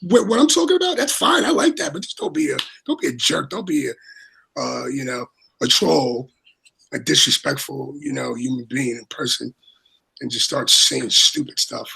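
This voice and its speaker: Raspy-voiced man, rambling livestream chat. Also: medium pitch, big pitch swings, normal speed, pushed and bright, low-quality recording. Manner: rambling, repeats words, many fillers. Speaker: co-host and moderator.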